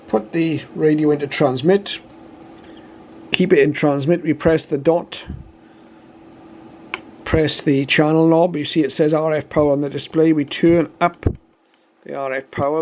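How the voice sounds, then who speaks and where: A man's voice: on the high side, steady tone, unhurried, long pauses, a little mellow, a little hiss or hum. A British man giving an informal, matter-of-fact product demonstration, explaining methodically step by step. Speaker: radio reviewer and demonstrator.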